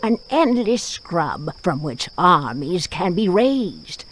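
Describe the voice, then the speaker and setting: Slow, dramatic fantasy game narrator. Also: mid-range voice, very animated, fairly bright tone, slight background noise. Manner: dramatic, deliberate storytelling.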